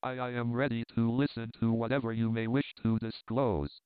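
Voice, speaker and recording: A man reading as a calm, sympathetic young gentleman. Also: mid-range voice, normal speed, smooth, no echo, natural voice. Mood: earnest. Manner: gentle, earnest. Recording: dry, tinny phone-like sound.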